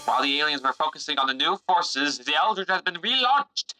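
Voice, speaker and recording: Very bright, forceful male narrator. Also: fairly high pitch, big pitch swings, fairly quick, faint music behind, poor audio. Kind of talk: dramatic scripted narration.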